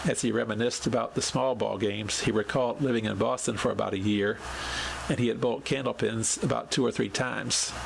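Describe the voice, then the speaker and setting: Male podcast host, heavily creaky voice, measured scripted storytelling, audible noise behind. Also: mid-range voice, steady tone. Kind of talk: scripted narration, reading a written account.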